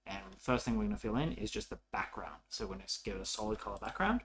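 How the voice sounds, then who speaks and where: A man presenting a programming tutorial in a casual, unscripted way, haltingly thinking aloud as he works. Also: fairly low pitch, animated, noisy background. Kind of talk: casual coding walkthrough, unscripted.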